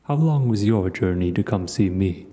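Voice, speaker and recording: A man voicing a huge, ancient male dragon in an audio roleplay, aloof yet hospitable, speaking in a slow, deliberate, musing way. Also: sits low, expressive, warm tone. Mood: calm, wry. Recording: cavernous echoey reverb.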